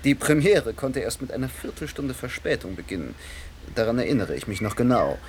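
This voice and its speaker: A man's voice: lowish voice, slight background noise, close to an everyday voice. An actor playing a vain, urbane stage star and manor host in a radio play, theatrical and savoring his words. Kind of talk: radio play acting and reflective monologue.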